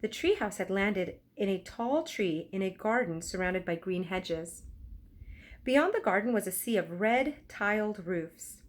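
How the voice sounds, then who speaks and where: A woman narrating a children's book aloud expressively, enunciating for young listeners. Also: medium pitch. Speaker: storybook reader.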